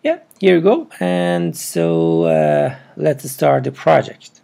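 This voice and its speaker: A man with a non-native English accent narrates a casual step-by-step tutorial, with halting, broken phrasing. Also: fairly high pitch, huge pitch swings, gravelly, mellow. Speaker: programming tutorial presenter. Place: indoors at a computer.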